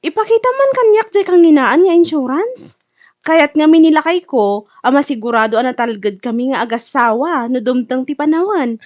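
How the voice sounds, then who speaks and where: A woman's voice: sits high, lively intonation, moderate pace, darkish tone. A woman playing a practical married woman in an Ilocano audio drama, talking in a plain, matter-of-fact way. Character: practical married woman, dutiful client and caring wife. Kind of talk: Ilocano radio drama acting.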